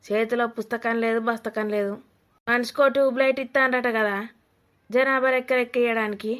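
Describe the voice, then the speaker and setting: Woman playing an older village mother-in-law, Telangana Telugu accent, high voice, sharp-tongued, nosy, rustic scolding, cartoon comedy. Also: steady tone, fairly quick, close to an everyday voice. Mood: chatty, bossy. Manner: blunt, scolding, mocking, rustic.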